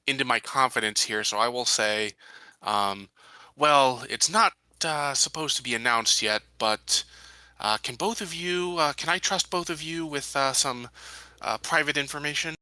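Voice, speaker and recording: A man's voice: mid-range voice, pushed and bright, clean tone, no echo. A man playing a tabletop roleplaying game, hesitantly thinking aloud as he narrates and voices his character.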